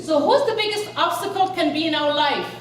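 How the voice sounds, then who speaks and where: A woman from Egypt giving an energetic inspirational speech to a live audience, rallying them with questions. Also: sits high, a little fast. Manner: energetic, rallies the crowd with questions. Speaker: motivational speaker. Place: large event hall. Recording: echoey hall, voice from far off.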